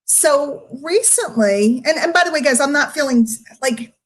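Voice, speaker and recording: A middle-aged woman speaking emotionally and candidly to her online followers, confiding and emphatic, with drawn-out words and pauses. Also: sits high, expressive. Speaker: online marketer addressing followers. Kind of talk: candid livestream monologue to followers.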